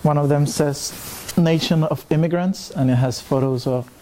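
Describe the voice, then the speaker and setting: A man in his twenties from El Salvador, a native Spanish speaker, talking in a reflective, soft-spoken way in an interview. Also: on the high side, moderate pace, a little hiss or hum. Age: 20s.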